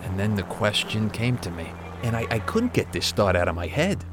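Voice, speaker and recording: A man's voice: lowish voice, big pitch swings, moderate pace, background noise. An actor playing a retired, conscience-stricken corporate executive, an older man reminiscing in a documentary interview. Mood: troubled, reflective.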